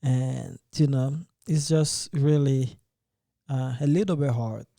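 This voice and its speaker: A man's voice: medium pitch, fairly even intonation, takes it slowly, vocal fry, mellow, dry recording, studio quality. A man hosting a casual podcast debate, speaking earnestly and hesitantly, often restarting his phrases. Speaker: podcast host.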